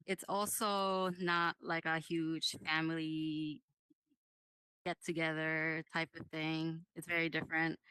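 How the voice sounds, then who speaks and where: A woman from Japan talking as a guest, slow and thoughtful with many pauses. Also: low voice, sharp tone, clean tone, no echo, poor audio. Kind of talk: casual group chat.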